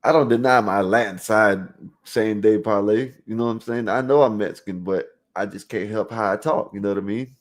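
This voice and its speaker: Deep-voiced dude from florida hosting a call-in stream, reading chat out loud, lots of "you know what i mean". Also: male voice, brisk. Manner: loose street slang, frequent "you know what I mean" fillers; rambling with restarts, talking straight to viewers. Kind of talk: casual chat reading out live chat.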